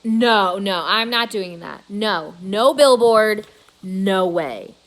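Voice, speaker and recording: Woman acting a storybook dad, skeptical, doubtful, reasoning, slow-paced. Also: mid-range voice, poor audio. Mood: refusing. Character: skeptical, hesitant father, a grown man.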